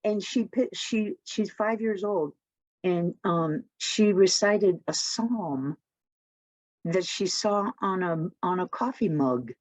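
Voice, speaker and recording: A woman's voice: on the low side, slow, a little mellow, no echo. A woman chatting casually as a participant in a spiritual discussion group.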